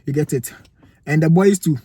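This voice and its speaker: A man's voice: a little high, normal speed, dark tone, husky, no echo. A young man with a Ghanaian accent giving emphatic motivational advice to camera, asking rhetorical questions, indoors in a cold room. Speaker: vlogger giving life advice.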